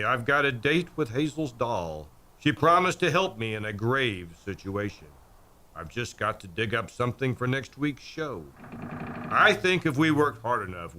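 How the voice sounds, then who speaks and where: A man's voice: mid-range voice, pushed and bright. A man hosting a radio mystery show, teasing listeners with sly, macabre puns. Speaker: radio drama host. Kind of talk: spooky, punning host sign-off.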